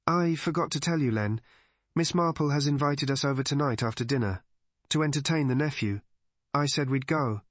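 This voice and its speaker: A man's voice: mid-range voice, normal speed, no room sound, pitched above the performer's own voice, close to an everyday voice. A man voicing a chatty, knowing vicar's wife in a mystery audiobook.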